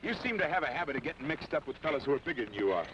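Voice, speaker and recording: An actor playing a guarded western outlaw who speaks in short, clipped lines. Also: male voice, fairly high pitch, animated, rapid-fire, a bit bright. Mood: wary. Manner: clipped, laconic. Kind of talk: 1950s western film dialogue. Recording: dull old film soundtrack with hiss and score.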